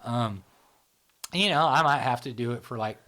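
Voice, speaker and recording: A man hosts a relaxed, casual conversation and tells his stories loosely. He keeps checking in with "right?" and "you know?", and his voice sits in an ordinary middle pitch. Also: normal speed. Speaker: host.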